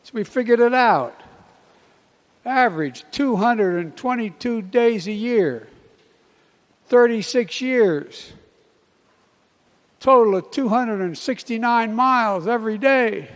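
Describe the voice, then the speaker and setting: A man's voice: extremely high pitch, lively intonation, slow pace, long pauses, voice pushed up, exaggerated voice. An older American man playing a brash, chummy senior train conductor in a spoken anecdote, exuberant and emphatic, drawing out his words. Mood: brash, insistent.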